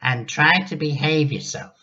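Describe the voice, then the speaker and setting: A selfish old grandmother from a children's storybook, acted out by a man, pitched high and dragging out her imperious complaints. She snaps out orders like a wicked, grumpy tyrant. The recording is slightly dull. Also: a little slow, pushed and bright. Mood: bossy, scolding. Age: older.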